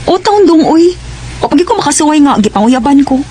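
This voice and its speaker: A woman's voice: high-pitched, sing-song, a little fast, dark tone, slight background noise. A woman playing a shy, playful schoolgirl in a Cebuano radio drama, with a Cebuano Filipino accent. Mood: bashful denial. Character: shy, playful teenage girl student being courted.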